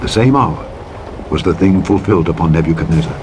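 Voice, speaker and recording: A man reading the Bible aloud as a narrator, in a solemn, measured, declamatory style. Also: deep voice, expressive. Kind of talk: solemn scripture reading, King James English. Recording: truck engine and reversing beeps over reading. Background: truck simulator game vehicle noise.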